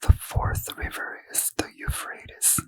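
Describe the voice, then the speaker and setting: A man reading scripture as a bedtime ASMR story in a breathy, hushed, careful whisper, close to the mic. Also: dry recording. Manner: slow, careful, hushed delivery. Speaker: ASMR reader.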